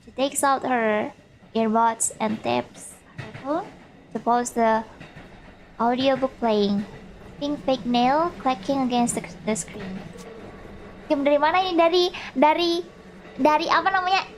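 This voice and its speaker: A woman with a notably high-pitched voice and an Indonesian accent is livestreaming a visual novel. She moves between casual chat and reading aloud, readily repeating herself when a word trips her up. A faint layer of noise sits behind her. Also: unhurried, long pauses, rough recording. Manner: stumbles over English words, repeats herself, giggles at own mistakes.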